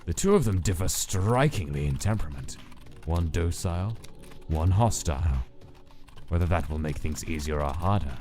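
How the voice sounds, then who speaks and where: A man voicing a detached male scientist who narrates his observations in a clinical, measured way. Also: sits low, a little mellow. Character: cold calculating male scientist studying his subjects. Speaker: narrator of a comic dub. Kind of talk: inner-monologue narration.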